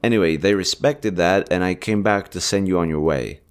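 A man voicing a gentle, smitten army general in a romantic roleplay audio, tender, earnest and a little hesitant. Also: sits low, moderate pace, clean tone, close to an everyday voice. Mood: earnest, reassuring. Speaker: voice actor.

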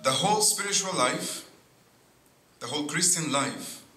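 A middle-aged priest preaches from a pulpit at a very slow, measured pace. His voice is sharp and bright. The boom mic catches the echo of the church and a faint hiss. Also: animated. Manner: slow, deliberate preaching with pauses and frequent "see". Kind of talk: sermon. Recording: echoey church with faint noise.

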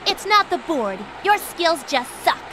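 A woman's voice: very high voice, sing-song, a little slow, heavy background noise, heavily acted. A woman playing a haughty, sassy young female racer in a video game cutscene, taunting and condescending. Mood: scornful. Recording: loud music behind the voice. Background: loud music.

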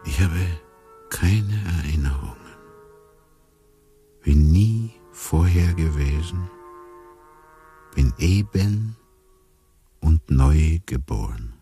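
An actor playing a bewildered amnesiac man who muses wryly and deliberately in a radio drama monologue. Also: extremely low pitch, lively intonation, dark tone, soft background music, exaggerated voice. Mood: musing, bewildered. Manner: deliberate, musing, wry. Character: bewildered amnesiac man narrating his inner thoughts.

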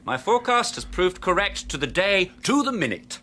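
An actor playing a cold, arrogant scientist, clinical and dismissive. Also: male voice, high voice, sing-song, normal speed, sharp tone, a little hiss or hum, heavily acted. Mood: smug, excited. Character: Doctor Brodsky, cold arrogant male scientist.

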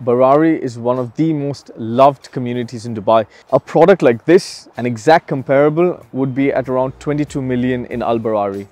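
A man's voice: mid-range voice, fairly quick, hardly any pauses, darkish tone, dry recording. A man giving an emphatic, salesy promotional presentation as a real estate agent, putting questions to the viewer.

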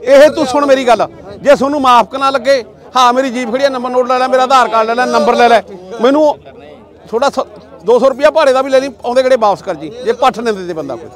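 An older man with a Punjabi accent, a goat seller bargaining loudly at an outdoor market, pushy and talkative. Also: extremely high pitch, fast talker. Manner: loud, pushy seller's patter, calls people "shera". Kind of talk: casual bargaining chat.

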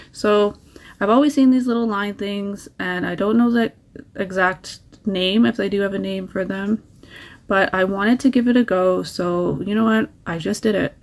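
Woman chatting thru her nail art tutorial, friendly tips, middle pitch, easygoing. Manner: chatty, encouraging, gives tips. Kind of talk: casual tutorial voice-over.

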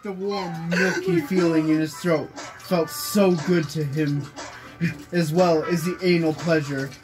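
Loud music plays in the room behind a young man reading a story aloud. His delivery is slow and his voice is on the higher side for a male reader. He sounds amused as he narrates for his friends. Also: fairly even intonation, low-quality recording. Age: young adult. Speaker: reader, friend of the video maker. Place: small bedroom, indoors. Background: music playing in the room.

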